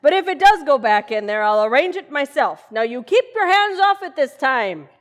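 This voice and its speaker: A woman's voice: high voice, very animated, moderate pace. A woman playing a long-suffering, firm and dryly tolerant wife in old-time radio comedy. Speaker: actor in community theater radio play.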